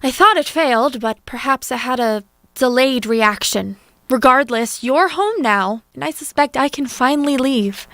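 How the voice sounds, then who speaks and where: Casting: actress as bitter young princess for fantasy audio drama, high voice, dry close sound. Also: female voice, expressive, moderate pace, few pauses, a bit bright, dry recording. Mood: cold, firm. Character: resentful young princess, long trapped in a castle. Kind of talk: stage-style fantasy audio drama acting.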